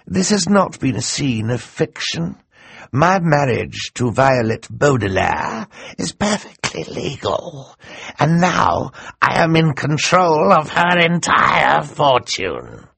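A man's voice: a little high, sing-song, slow, gravelly, fairly bright tone, slightly rough, dry recording, voice pushed up, exaggerated voice. A man voicing a scheming, theatrical male villain who gloats and mocks, in an audiobook. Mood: gloating, grand. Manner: gloating, grand and mocking.

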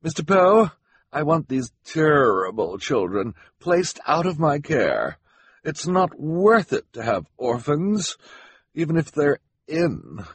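A man's voice: on the high side, sing-song, slow pace, husky, pitched above the performer's own voice, heavily acted. A man voicing a haughty, snappish rich woman, a callous guardian with an imperious, cutting manner. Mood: snappish, disdainful.

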